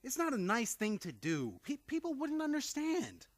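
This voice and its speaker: An actor playing a flustered, exasperated nephew and theatre critic in a live radio comedy, stammering and theatrical. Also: male voice, extremely high pitch, huge pitch swings, normal speed, heavily acted. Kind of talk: live old-time radio comedy acting.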